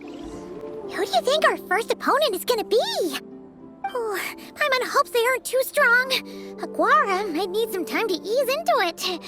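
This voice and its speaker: A woman playing a tiny, excitable fairy companion in an anime game, with a bubbly, exclamatory manner. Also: extremely high pitch, measured pace, exaggerated voice. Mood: excited. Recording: loud music behind voice.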